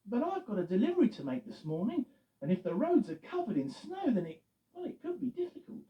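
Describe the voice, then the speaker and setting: A man's voice: very high-pitched, wildly swooping pitch, a little fast, echoey room, pitched above the performer's own voice, full character voice. A man doing a careful, polite delivery truck. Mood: earnest. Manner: polite, a little worried. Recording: muffled, with music behind.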